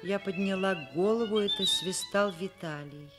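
An actress playing an educated middle-aged professor in a radio play, wry and expressive in narration and dialogue. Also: female voice, mid-range voice, moderate pace, natural voice. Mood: wry, reflective. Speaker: radio drama actor, narrator. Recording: radio play, violin music behind.

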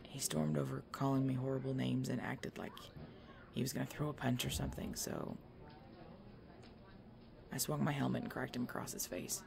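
Woman acting a gravelly-voiced, weary biker girlfriend. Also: extremely low pitch, little pitch movement, normal speed, long pauses, a crowd in the background, heavily acted. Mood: weary, pained. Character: tough, weary, injured young biker girlfriend, protective of boyfriend. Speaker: roleplay audio performer. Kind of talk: ASMR girlfriend roleplay audio drama.

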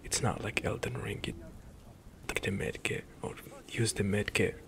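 A young man whispering relaxed commentary as he plays through a game for an ASMR stream, often trailing off mid-thought. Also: fairly low pitch, normal speed, gravelly.

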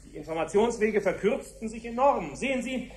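A brisk official with a high-pitched voice, in a 1970s radio play. He explains in formal, orderly sentences, as in a briefing. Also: expressive, darkish tone, a little hiss or hum. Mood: formal, explanatory. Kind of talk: 1970s German radio drama acting.

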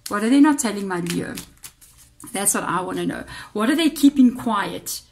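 A woman's voice: medium pitch, expressive, moderate pace, a little mellow, a little hiss or hum. A South African woman reads tarot at a table, chatting to her viewers and thinking aloud as she turns each card. Her voice sounds slightly off today. The tone is casual and direct. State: voice a bit off, slightly unwell-sounding. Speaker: tarot reader. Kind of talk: casual tarot reading to camera. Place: indoors at a tabletop altar.

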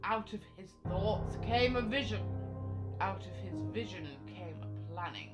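A woman's voice: medium pitch, measured pace, over loud music, natural voice. A woman acting a solemn old man, keeper of a legend, oracular in an amateur radio drama. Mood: solemn, reverent.

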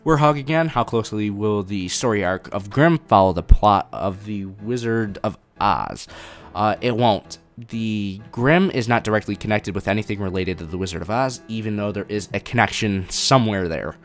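A young man with a fairly low voice answers chat questions on a live stream, talking casually and rambling as he goes. Faint game music plays behind him. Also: expressive, normal speed. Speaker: mod developer answering viewer questions.

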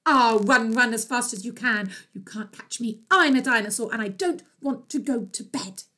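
A woman voicing a naughty young boy dinosaur in a children's story, chanting loud, defiant taunts. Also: on the high side, normal speed. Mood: defiant, taunting.

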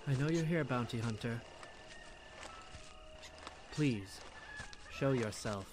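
A man voicing a composed, solemn blind priestess in a comic dub, with measured, formal and pious speech. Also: medium pitch, animated, noisy background. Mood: calm, guarded. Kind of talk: dramatic comic dub narration. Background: loud music.

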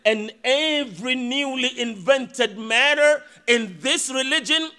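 A man's voice: extremely high pitch, takes it slowly, bright, forceful tone, clear, resonant tone. A man in his thirties giving a Friday sermon from a mosque pulpit into podium microphones, preaching emphatically with rhetorical questions.

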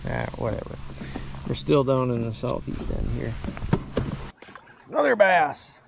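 A man in his forties hosting a casual fishing vlog from a boat on a lake, chatting excitedly to the camera. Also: mid-range voice, a little slow, vocal fry. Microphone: action camera on the boat. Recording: wind and water noise. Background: wind and water noise.

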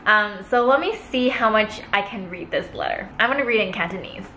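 A young woman chatting casually in a food vlog, unboxing gifts on her sofa and reading aloud haltingly while laughing at herself. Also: mid-range voice, expressive, sharp tone, reverberant, slight background noise, rough recording. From Canada. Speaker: food vlogger. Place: living room, seated on a sofa.

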